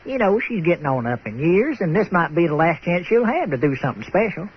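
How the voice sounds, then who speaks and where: An actor playing a folksy, excitable manure hauler with a Southern American accent, stammering and drawling in an old-time radio comedy. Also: male voice, on the high side, a little fast. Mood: cheerful. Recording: muffled radio sound with faint noise.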